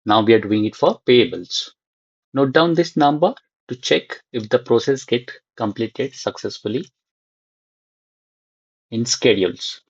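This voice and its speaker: A man giving an unscripted screen-share software tutorial, thinking aloud in short, halting fragments. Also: medium pitch, expressive, husky, no echo, highs cut off.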